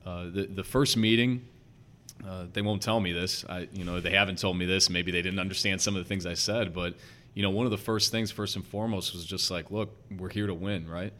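A young man with a slight Chicago accent, a basketball head coach talking earnestly and quickly in a casual podcast interview, with lots of fillers. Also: low voice, steady tone, fairly bright tone. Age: young adult. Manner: earnest, talks fast with many fillers.

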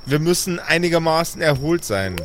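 A fairly high-voiced male adventurer, acted by a man, exasperated yet caring. He pleads and lectures insistently in an improvised roleplay audio play, with faint cricket-filled night ambience behind him. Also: animated, normal speed, fairly bright tone, slight background noise. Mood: lecturing, pleading.

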